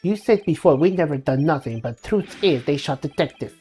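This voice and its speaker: A woman voicing an earnest, suspicious Russian male juror in halting English with a Russian accent, for a game read-aloud. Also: low voice, lively intonation, mellow, faint music behind. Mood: suspicious, stern. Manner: halting, clipped, dropped articles.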